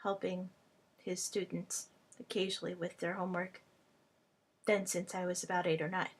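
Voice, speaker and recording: A woman whose first language is Hungarian, a language tutor speaking to camera in a personal vlog, in short, halting phrases. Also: on the low side, level tone, husky.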